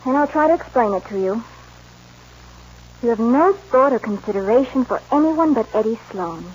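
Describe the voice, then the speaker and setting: High-voiced, principled rancher's daughter. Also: female voice, lively intonation, moderate pace, a little mellow, breathy edge. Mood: stern, heartfelt. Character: principled, firm young woman, rancher's daughter. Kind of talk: 1940s radio western acting. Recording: dull, noisy old radio recording.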